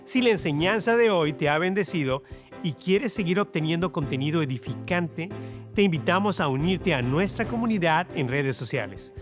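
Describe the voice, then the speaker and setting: A man's voice: a little high, expressive, moderate pace. A man reading scripted promotional narration as the announcer of a religious program. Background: music.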